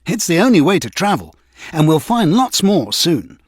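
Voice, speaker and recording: A man's voice: a little high, big pitch swings, moderate pace, no echo, studio quality, voice pushed up. A man voicing a breezy, confident young magical cousin in a children's story, upbeat and casual. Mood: cheerful.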